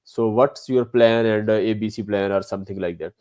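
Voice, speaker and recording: A man's voice: on the low side, steady tone, a little fast, darkish tone, clean tone, dry recording, clean studio sound. A man hosting an interview, polite and hesitant, with many fillers. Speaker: host.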